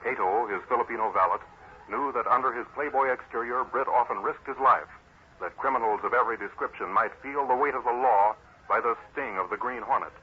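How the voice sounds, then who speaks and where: A man's voice: medium pitch, a little fast, slightly rough. Dramatic old-time radio announcer, muffled man. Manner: dramatic, declamatory. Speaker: announcer and narrator. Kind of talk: 1940s radio scripted narration.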